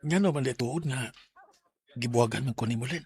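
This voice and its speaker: A man's voice: medium pitch, a little fast, breathy edge. A man acting in a Bisaya radio drama as a sentimental older male lawyer, with a Cebuano accent and emotive delivery. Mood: tender, wistful. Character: Teresito, sentimental older male lawyer looking back on heartbreak.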